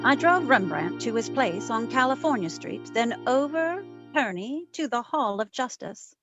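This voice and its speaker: A woman playing a sharp, wry private investigator in an old-time radio detective drama, narrating and trading teasing endearments. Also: fairly high pitch.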